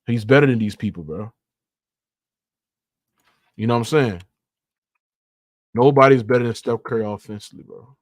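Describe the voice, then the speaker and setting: A man's voice: medium pitch, moderate pace, long pauses, dry recording, studio quality. A man hosting a sports debate in slangy casual talk, insistent and argumentative, repeating his points and swearing freely. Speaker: sports debate show host.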